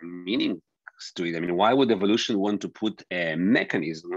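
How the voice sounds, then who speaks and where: Deep-voiced man, halting, on a dull call. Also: lively intonation, husky, no echo. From Georgia. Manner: halting, restarts phrases while searching for words. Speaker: scientist guest. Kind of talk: relaxed interview answers. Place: home office with bookshelves. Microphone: video call. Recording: dull, dry video call audio.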